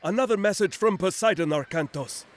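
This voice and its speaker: An actor playing a worried, solemn counselor in a mythic video game, earnest and portentous. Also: male voice, high voice, a little fast, natural voice. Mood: grave worry. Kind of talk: video game cutscene acting.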